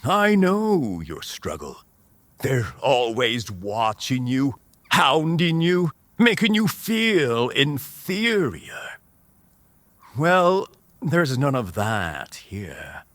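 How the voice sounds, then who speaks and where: Rebel outlaw chief, charismatic and reassuring, very slow with animated swings, male actor, fantasy audio drama. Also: medium pitch, no echo, heavily acted. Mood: reassuring, fervent.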